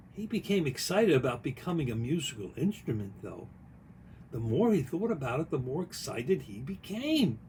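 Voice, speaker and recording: A man reading their own picture book aloud in an expressive, folksy way, with chatty asides along the way. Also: fairly high pitch, slight background noise, low-quality recording. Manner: folksy, expressive, pausing to comment on the story.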